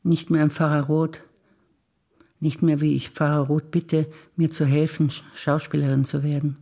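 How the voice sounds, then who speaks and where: An older woman, an actress reciting memories in a quiet, measured way on stage. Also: low-pitched, little pitch movement.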